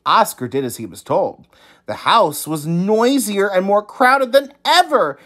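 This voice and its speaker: Male children's story reader: very lively pitch swings, unhurried, emphatic delivery. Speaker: teacher reading to young children. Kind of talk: expressive children's read-aloud.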